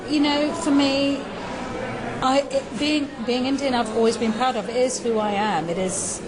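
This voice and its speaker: A woman's voice: sits high, steady tone, normal speed, crowd noise, low-quality recording. A middle-aged woman from East Africa with a British accent, giving long, reflective interview answers as an actor. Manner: articulate, reflective, builds long points.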